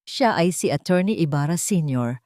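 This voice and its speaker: A woman narrates a scripted dramatic story. Her voice sits a little low, and the sound is dry and close, with no room around it. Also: expressive, normal speed, dark tone, dry recording.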